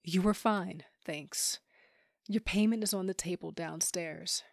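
Actress as low-voiced broken widow. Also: female voice, unhurried, gravelly, dry recording, clean studio sound. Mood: bitter, numb. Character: grieving, bitter, emotionally broken widow and monster hunter. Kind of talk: first-person audio drama narration with acted scenes.

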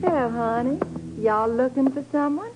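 A woman's voice: sits high, lively intonation, moderate pace, very noisy. A woman playing a flirtatious, folksy, deceptively sweet receptionist with a drawling Southern US accent in a 1950s radio drama. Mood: flirtatious, coy. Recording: muffled old radio recording.

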